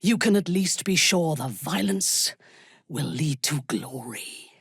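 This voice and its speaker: A woman playing a ruthless, haughty dark elf noblewoman in a fantasy video game, speaking in a measured, menacing way with a breathy, husky voice. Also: deep voice, expressive, no echo, studio quality, full character voice. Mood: commanding, menacing. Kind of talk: dramatic fantasy game dialogue.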